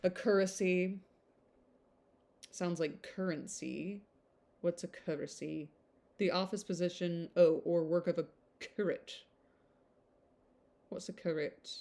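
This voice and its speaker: A woman reading aloud informally and haltingly, breaking off with casual asides to puzzle over words. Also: fairly low pitch, steady tone, dark tone. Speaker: amateur reader.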